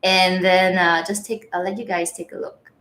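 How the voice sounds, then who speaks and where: A woman's voice: on the low side, level tone, normal speed, fairly bright tone. Chatty Malaysian woman reading out comments. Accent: Malaysian English with Singlish. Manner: chatty, laughs often, reads viewer comments aloud. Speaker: livestream host. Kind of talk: casual livestream chat.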